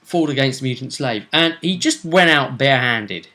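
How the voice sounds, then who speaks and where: A man's voice: medium pitch, lively intonation, moderate pace, bright, forceful tone. A man giving a casual, conversational recap of a tabletop role-playing game as its host, often trailing off mid-sentence.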